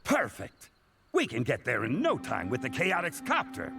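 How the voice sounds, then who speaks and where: Gravelly, brash crocodile boss. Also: male voice, medium pitch, huge pitch swings, moderate pace. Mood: cocky. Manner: cocky, bossy. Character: brash male crocodile boss of a detective team. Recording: music behind the voice.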